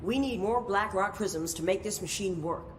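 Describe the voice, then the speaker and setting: An actress playing a brisk, commanding woman scientist in a game. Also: mid-range voice, slight background noise, natural voice. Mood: firm. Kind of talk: game voice acting.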